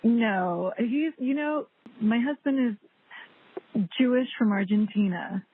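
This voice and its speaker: A woman's voice: fairly high pitch, slow, slightly rough. A woman hosting a podcast interview, asking friendly questions in casual talk. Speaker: podcast host. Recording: tinny phone-like line.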